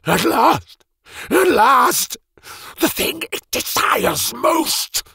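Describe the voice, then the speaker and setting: A man voicing a greedy, volatile mage with a metal arm and a glowing eye, in a raspy, breathy voice that hisses threats and breaks into frantic shouts. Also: a little high, wildly swooping pitch, slow pace, no echo, clean studio sound, voice pushed up, full character voice. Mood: gleeful. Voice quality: raspy, breathy whisper sliding into shrieks. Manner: theatrical, snarling, swings from hissing threat to frantic shouting. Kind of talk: audiobook character voice.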